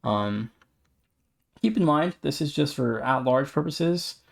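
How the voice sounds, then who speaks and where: A man's voice: on the high side, expressive, slow, gravelly. A man co-hosting a sports podcast, casually running through standings and team updates. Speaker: podcast co-host.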